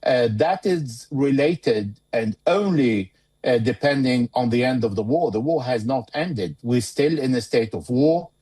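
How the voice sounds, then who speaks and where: A man giving interview commentary as a war analyst, speaking in a measured, deliberate way with pauses mid-phrase. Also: medium pitch, expressive, warm tone, husky.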